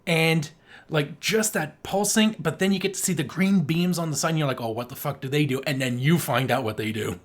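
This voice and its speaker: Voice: mid-range voice, fairly quick. An enthusiastic podcast co-host chatting casually about film, speaking emphatically and swearing freely. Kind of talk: casual film review chat.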